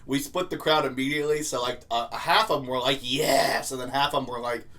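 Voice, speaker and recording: A man's voice: mid-range voice, steady tone, fairly quick, fairly bright tone. A young man co-hosting a casual podcast, joking and laughing a lot, a little hungover. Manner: laughs often, loose and joking. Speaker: podcast co-host. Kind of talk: casual chat.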